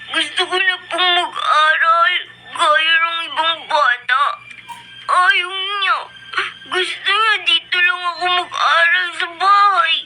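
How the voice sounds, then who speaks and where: High-pitched, plaintive young child. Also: female voice, steady tone, slow, bright, forceful tone, soft background music, full character voice, poor audio. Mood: pleading, frustrated. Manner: plaintive, polite, pleading. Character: lonely, tearful young child aching for father's love. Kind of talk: Filipino radio drama acting.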